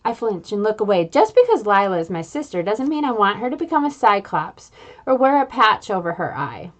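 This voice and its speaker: A woman reading a children's book aloud in an expressive, playful way, adding little sound effects. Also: mid-range voice, brisk. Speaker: reader.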